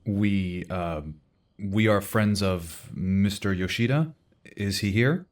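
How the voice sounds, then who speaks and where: A man's voice: lowish voice, slow. A man playing an earnest, weary superhero team leader in an audio drama. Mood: hesitant.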